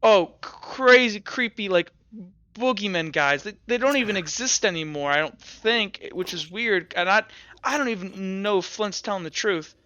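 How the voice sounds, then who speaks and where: Stammering teenage boy castaway, acted by a game master, awkward and uneasy, bright voice, dull close-miked sound. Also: medium pitch, expressive, moderate pace, no echo.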